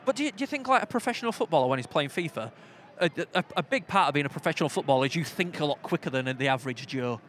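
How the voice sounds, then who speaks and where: A man with a Yorkshire accent bantering through live football commentary in a colloquial, jokey, excitable way. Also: on the high side, very fast, hardly any pauses, slight background noise. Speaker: football commentator and podcast host. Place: outdoors at a football ground.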